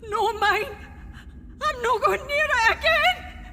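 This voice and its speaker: A woman's voice: very high-pitched, slow, bright, forceful tone, long reverb tail, slight background noise, full character voice. A woman voicing a terrified young Scottish servant girl who sobs and cries out in panic, in an audio drama. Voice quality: crying, shrieking.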